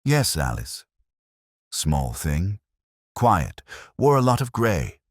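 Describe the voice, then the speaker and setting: A man's voice: sits low, sing-song, slow pace, no echo, clean studio sound, heavily acted. A man voicing a guarded, reluctant college porter who speaks in short, hesitant phrases. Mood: guarded, wistful.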